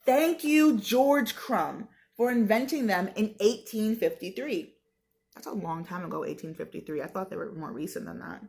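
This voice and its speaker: A woman's voice: mid-range voice, animated, moderate pace, vocal fry, a little mellow. An American woman reading a book aloud like a teacher, with casual explanatory asides spoken directly to her listeners in a conversational, chatty way. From New York state, United States.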